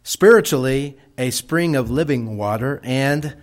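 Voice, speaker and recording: Middle-aged Texan man, unhurried missionary report to a congregation, plain homespun delivery. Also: mid-range voice. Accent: Texas. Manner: slow, folksy, halting with many restarts.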